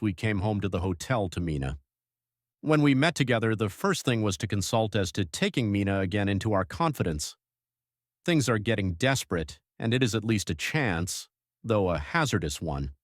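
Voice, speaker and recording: A grave, earnest diarist played by a man recounts his account in an audiobook drama. His voice is on the low side, measured and formal, recorded very dry. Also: big pitch swings, no echo. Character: earnest, grave male diarist hunting a vampire. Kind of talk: dramatic audiobook narration of a diary.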